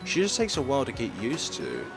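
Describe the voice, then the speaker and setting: A man's voice: medium pitch, huge pitch swings, a little fast, gravelly, over music. A man doing let's play commentary, thinking aloud and reading visual novel text as he goes.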